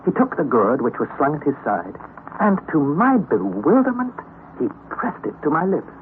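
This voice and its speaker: A man's voice: on the high side, big pitch swings, normal speed. An actor playing a young man who narrates his exhausting underground expedition in an old radio drama, earnest and dramatic. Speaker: narrator and nephew. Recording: tinny old radio recording, faint hiss.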